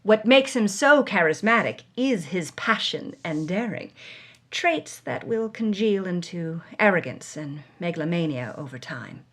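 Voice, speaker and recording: A woman telling a scripted story as a podcast storyteller, in an animated way. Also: fairly low pitch, unhurried.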